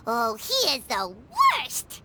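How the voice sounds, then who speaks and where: A woman voicing a tiny, cheeky, blunt childlike fairy companion in a video game, with a squeaky voice. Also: huge pitch swings, takes it slowly, background noise, exaggerated voice. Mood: exasperated. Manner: exclaiming, refers to self in third person. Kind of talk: animated video game voice acting. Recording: loud background music under voice.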